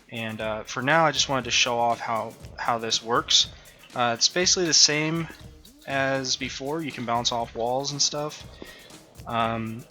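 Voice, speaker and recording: A man's voice: mid-range voice, sharp tone. A man developing his own game, talking casually and thinking aloud with frequent ums and uhs as he shows it off. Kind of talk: casual devlog walkthrough. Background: faint background music.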